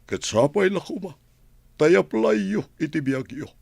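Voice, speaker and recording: A man playing a kindly, solemn priest with a husky, gravelly voice in an Ilocano radio drama. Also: on the high side, big pitch swings, a little mellow, dry recording, full character voice. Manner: deliberate, solemn. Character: kindly, solemn male priest counselling prisoners.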